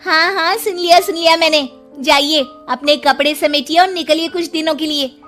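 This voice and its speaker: A woman narrator voices a greedy, haughty young daughter-in-law in a storybook tale. Her voice is pitched high and scolding, with music underneath. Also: fairly even intonation, normal speed, a bit bright. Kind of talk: storybook character acting.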